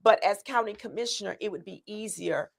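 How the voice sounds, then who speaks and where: A woman's voice: medium pitch, brisk, creaky. A woman running for county commissioner, earnestly laying out her plans with many ums and restarts. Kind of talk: answering interview questions.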